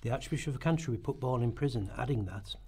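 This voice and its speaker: A man narrates history from a script for a radio play. He reads quickly, in a mid-pitched voice with a rough, husky edge. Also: darkish tone.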